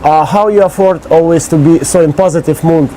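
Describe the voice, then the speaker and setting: A man with a Russian accent hosting an interview outdoors in halting second-language English, nervous and laughing between his questions. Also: on the high side, dark tone, slight background noise, rough recording. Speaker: interviewer, host. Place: outdoors on an island.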